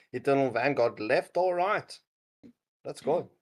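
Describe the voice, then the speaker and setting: A man's voice: mid-range voice, animated, slow pace. A man casting a mobile esports match, joking and laughing often between calls. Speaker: esports caster. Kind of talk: casual esports commentary.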